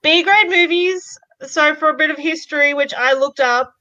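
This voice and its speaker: Bright, high-voiced woman podcast co-host. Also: moderate pace, highs cut off. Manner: playful, mock-serious. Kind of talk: casual podcast chat.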